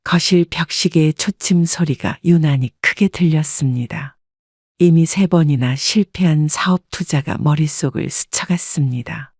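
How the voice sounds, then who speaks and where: A woman narrating a scripted first-person family story in a calm, reflective tone. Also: low voice, no room sound, studio quality.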